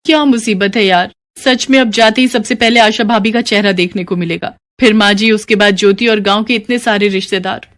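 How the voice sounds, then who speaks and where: A woman acts out a lazy, haughty young sister-in-law from the city. She is dismissive and scheming, peppering her talk with English words in animated storybook style. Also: mid-range voice, fairly quick, hardly any pauses, close to an everyday voice. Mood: grumbling, scornful. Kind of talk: animated moral story character dialogue.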